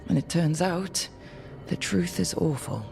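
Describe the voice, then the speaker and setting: A wry, slightly weary young leader from a fantasy video game. The voice sits at a middling pitch and sounds natural and grounded, with faint music underneath. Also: lively intonation, a little slow, vocal fry, a little mellow. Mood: reflective.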